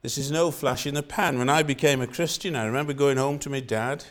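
A man's voice: medium pitch, a little fast, a bit bright. Older Welsh man preaching. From Wales. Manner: earnest, repeats phrases for emphasis. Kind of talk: sermon.

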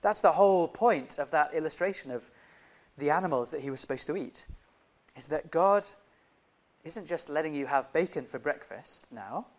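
A man's voice: on the high side, animated, moderate pace. A man who grew up in Indonesia, preaching a sermon in a conversational way, often putting questions to his listeners.

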